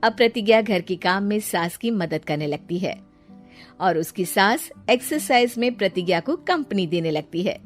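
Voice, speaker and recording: A woman narrates a scripted story as a storyteller. Her pitch is average for a woman. Soft instrumental music plays behind her. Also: animated, normal speed.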